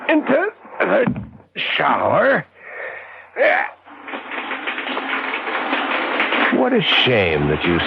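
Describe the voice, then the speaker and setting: An actor playing a meek man turned exultant murderer in a 1970s radio drama, with halting, theatrical intensity. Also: mid-range voice, gravelly, noisy background, full character voice. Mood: crazed, gloating. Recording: dull vintage radio broadcast recording.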